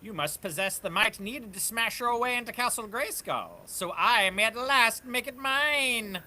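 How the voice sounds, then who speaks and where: Someone putting on a bright, gloating skull-faced sorcerer. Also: medium pitch, unhurried. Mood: commanding, gloating. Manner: grandiose, declamatory. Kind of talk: dramatic comic book table read.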